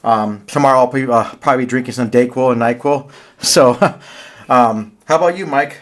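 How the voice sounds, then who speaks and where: A man's voice: medium pitch, normal speed. A man co-hosting a sports podcast with a stuffy nose from a cold, chatting casually with many fillers. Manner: relaxed, hesitant with fillers. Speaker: podcast co-host.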